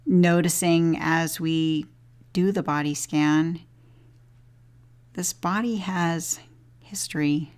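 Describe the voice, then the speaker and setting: Very slow, low-pitched woman guiding meditation. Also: clear, resonant tone. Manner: gentle, unhurried instruction with long pauses. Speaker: meditation teacher leading a body scan. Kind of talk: guided meditation.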